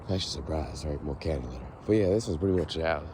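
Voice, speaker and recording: A young man with a raspy, hoarse voice, rambling to the camera as he walks outdoors in a casual vlog. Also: very deep voice, animated, fast. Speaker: vlogger. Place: outdoors, walking on a foggy street. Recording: noisy outdoor recording with passing traffic.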